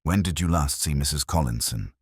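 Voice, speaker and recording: A quiet, shrewd police inspector from a mystery audiobook, acted by a male reader, very low and slightly husky. He probes in measured murmurs, with a dry, close sound. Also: no room sound. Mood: quietly probing.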